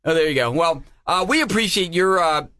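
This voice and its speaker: A middle-aged man co-hosts a casual chat podcast. His pitch swings a lot, and he acts out what others say with animated voices. The sound is dry and close, with slightly raised pitch. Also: a little fast, no echo. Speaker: podcast co-host.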